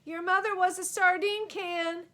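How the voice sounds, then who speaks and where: Woman as a brash, high-pitched taunting boy. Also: fairly even intonation, measured pace, pushed and bright, heavily acted. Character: cheeky, taunting boy in storybook adventure.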